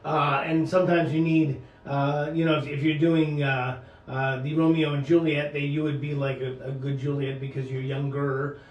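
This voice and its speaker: A man hosting a talk radio interview, halting and full of fillers and restarts as he asks questions. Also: a little high, little pitch movement, reverberant, far from the mic, lo-fi sound. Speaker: radio talk show host.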